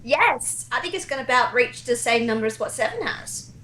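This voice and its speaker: A woman with a fairly high voice talks as a guest in a casual gaming chat. She sounds like a keen fan and dub director, speaking in an echoey indoor room. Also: animated, fairly quick, bright, forceful tone, a little hiss or hum. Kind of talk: casual chat about video games.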